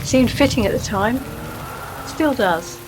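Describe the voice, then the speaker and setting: A woman's voice: fairly high pitch, expressive, slow, over music, poor audio. A woman acting in a radio play as a wistful, reproachful old friend, plain-spoken and probing. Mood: subdued, probing.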